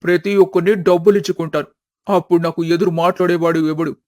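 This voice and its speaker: Bullying young husband, played by a man, storybook drama: high-pitched, arrogant, taunting, close dry sound. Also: steady tone, fast talker, a little mellow, no echo, lightly acted. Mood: sneering, threatening. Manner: taunting, menacing.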